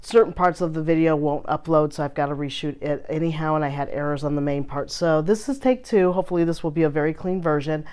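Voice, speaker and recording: A woman hosting a casual fountain pen vlog, her voice hoarse from a recent illness, chatty and full of filler ums as she shows her pens. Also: deep voice, fast, hardly any pauses, warm tone. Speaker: fountain pen channel host. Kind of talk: casual show-and-tell vlog.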